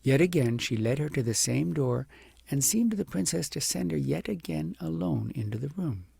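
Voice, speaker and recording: A man narrates a storybook in a very gravelly, raspy voice. He phrases deliberately and leans on words for dramatic effect. The sound is dry, with little room in it. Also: on the high side, expressive, darkish tone, no echo. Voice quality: gravelly, husky. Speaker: audiobook narrator. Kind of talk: expressive scripted storybook narration.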